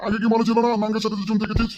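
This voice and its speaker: A man's voice: high-pitched, monotone, rapid-fire, background noise, lightly acted. A man voicing a jealous, quarrelsome old village husband, nagging and blustering in an exaggerated comic Bengali drama style. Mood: accusing.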